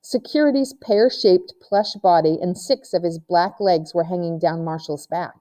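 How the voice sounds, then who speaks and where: A woman's voice: on the low side, normal speed, mellow. A woman narrating a children's novel aloud, like a teacher reading to pupils.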